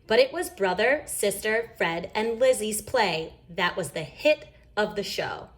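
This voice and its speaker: A woman reading a children's story aloud in an expressive, emphatic storytelling manner. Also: medium pitch, measured pace. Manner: animated, emphatic. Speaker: storybook reader.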